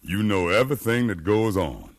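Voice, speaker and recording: A determined freed man in a 1950s radio drama. His low voice swings widely with conviction, and he persuades like a preacher. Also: big pitch swings, normal speed. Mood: urgent, fervent. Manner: fervent, persuasive, preaching cadence. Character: determined freed slave man, educated, fiery rebel leader.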